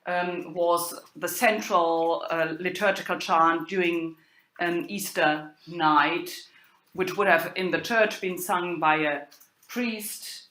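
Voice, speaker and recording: A woman giving an academic lecture in a halting way, pausing and hesitating often. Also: on the low side, steady tone, a bit bright. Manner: halting delivery with long hesitations. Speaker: lecturer, university professor. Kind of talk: spoken lecture on old drama texts. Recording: echoey room.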